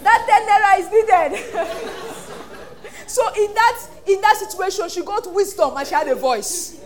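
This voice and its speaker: Nigerian woman, pastor, sermon, animated, acts out voices, high voice. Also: a little slow, a faint crowd, a little hiss or hum.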